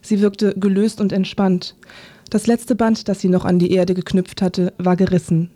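Very mellow, dark-toned woman narrator. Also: medium pitch, flat delivery, moderate pace. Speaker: narrator of a radio feature. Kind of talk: scripted literary biography narration.

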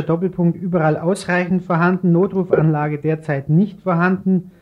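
A man with a fairly high voice presents as a radio interviewer. He reads scripted passages and puts informal questions, pausing now and then as he searches for wording. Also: highs cut off. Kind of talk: news interview, partly scripted and read.

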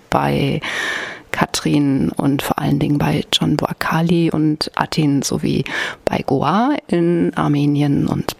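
A woman's voice: deep voice, creaky, no echo. A woman hosting a radio magazine, reading scripted moderation.

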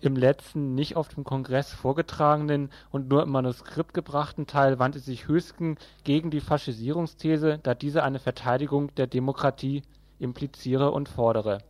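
A man reading scripted critical commentary in a measured, formal, analytical manner. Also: medium pitch, fairly even intonation, a little mellow, no room sound.

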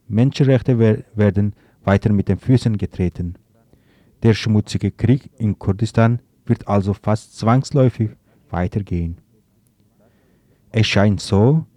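Guy reading a political commentary, lowish mellow voice, bit halting, very dry close sound. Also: dry recording. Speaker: radio news commentator. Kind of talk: scripted political commentary, read aloud.

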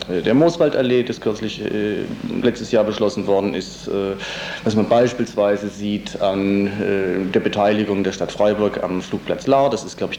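Guy with dark mellow voice, halting interview answers, echoey room, bit of noise. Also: lowish voice. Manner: hesitant, halting, searching for words. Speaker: interviewed congress organiser and local political activist. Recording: roomy echo with audible background noise.

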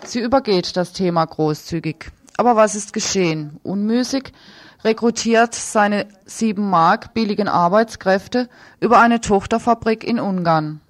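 A woman's voice: mid-range voice, a little slow. A woman presenting radio news, reading a scripted report with commentary.

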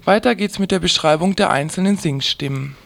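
A woman's voice: lowish voice, fairly quick, vocal fry. A woman reading a scripted, critical commentary for a radio programme.

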